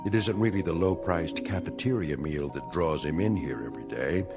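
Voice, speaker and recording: Grave storyteller, eerie radio play, measured delivery, lively intonation, strong music underneath. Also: male voice, deep voice. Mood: grave, knowing. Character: grave, knowing male storyteller introducing an eerie tale. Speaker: narrator. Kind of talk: scripted radio drama narration. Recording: prominent music behind a radio drama voice.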